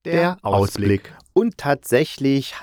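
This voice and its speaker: A man's voice: medium pitch, animated, slow, darkish tone, no room sound, studio quality. A man hosting a podcast, giving a casual, chatty review in a playful, jokey way, often correcting himself mid-sentence. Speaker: podcast host and audio drama reviewer.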